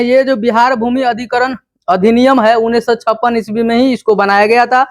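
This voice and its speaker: A man with a Bihari accent explaining news informally, in an emphatic, repetitive, instructive way. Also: very high voice. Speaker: presenter of a local news explainer channel.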